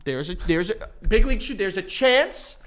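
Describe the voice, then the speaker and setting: A man's voice: high-pitched, animated, normal speed, a bit bright. A man co-hosting a podcast, teasing and riffing playfully in crude casual banter. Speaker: podcast co-host.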